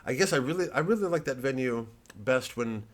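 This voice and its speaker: A man, a comedian, giving a rambling, casual monologue to an online audience in a halting, digressive, self-deprecating way. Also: mid-range voice, lively intonation.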